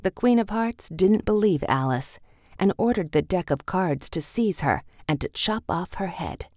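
A woman with a low voice narrates a children's storybook. She reads the scripted text slowly, in read-along style, and the recording is very dry and close. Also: lively intonation, a little mellow, dry recording.

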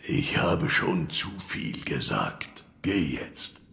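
An actor playing a gruff, cryptic old wood-carver in an audio drama, brooding and gravelly. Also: male voice, a bass voice, very animated, slow, sharp tone, heavily acted. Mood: grave, instructive. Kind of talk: audio drama acting of a video game.

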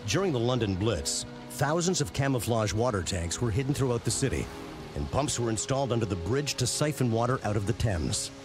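Male narrator for a factory documentary series, mid-range voice, punchy scripted read over a clearly audible music bed. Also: medium pitch, sing-song, brisk. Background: prominent background music.

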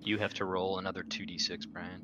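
A man's voice: low voice, flat delivery, a little fast, noisy background. A man taking part as a player in a tabletop roleplaying game, talking casually. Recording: dull sound, music behind.

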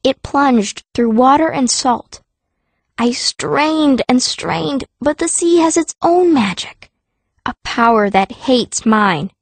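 High-voiced woman narrating, dry close recording. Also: expressive, slow pace, no echo. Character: fierce, stubborn girl stone mage telling her story. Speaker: audiobook narrator voicing a young heroine. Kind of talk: first-person scripted narration.

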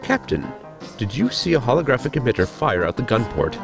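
A man playing a formal, pedantic science officer in a sci-fi comedy audio drama, with a gravelly voice and precise technical wording. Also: mid-range voice, sing-song, brisk, music in the background. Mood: measured urgency.

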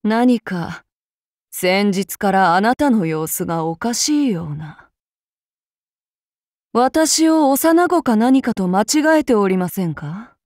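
A demon-blooded samurai lady for a video game, speaking with old-fashioned courtesy and a quiet menace underneath. Her pitch swings widely, and she drawls her words slowly. The recording is close and dry, with no room sound. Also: animated, no echo. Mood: menacing, courteous. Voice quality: soft, formal speech turning to wild shrieks in battle. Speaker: voice actress for a game character. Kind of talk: game character voice lines.

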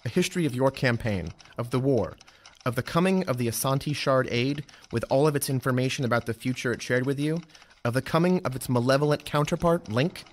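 An actor playing a dutiful young Roman scholar-officer, formal and courteous, in an audio drama. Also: male voice, mid-range voice, a little fast, a little hiss or hum, natural voice. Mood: earnest. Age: young adult. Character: earnest, dutiful young male Roman chronicler. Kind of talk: full-cast audio drama acting.

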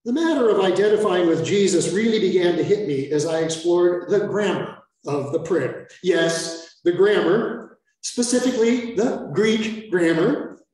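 An older American priest giving an earnest sermon that explains the text step by step, with self-aware asides. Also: male voice, high-pitched, a little slow, hardly any pauses, a little mellow. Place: large church sanctuary. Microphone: far camera. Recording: echoey church from far off.

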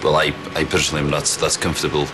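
Very deep-voiced young Welsh musician. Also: male voice, completely flat delivery, racing, background noise. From Wales. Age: young adult. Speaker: band musician. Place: pub.

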